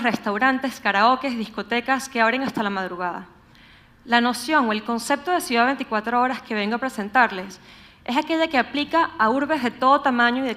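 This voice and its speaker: A young woman with a Venezuelan accent from Caracas, giving a prepared public talk in a measured, explanatory manner. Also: on the high side, a bit bright. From Venezuela, grew up in Caracas. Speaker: conference speaker. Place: on stage at a live talk event.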